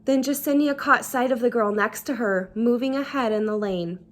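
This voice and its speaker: A woman storyteller reading a children's book aloud with expressive, deliberate, dramatic phrasing. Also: fairly high pitch. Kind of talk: expressive read-aloud narration.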